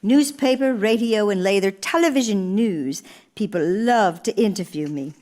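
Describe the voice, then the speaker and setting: An actress playing an elderly, gracious former First Lady, speaking in a measured, genteel, reflective way as in a stage monologue. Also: medium pitch, darkish tone, close to an everyday voice. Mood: reflective, confiding. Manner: measured, genteel, deliberate storytelling. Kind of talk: theatrical one-woman monologue. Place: live radio theater performance in a church.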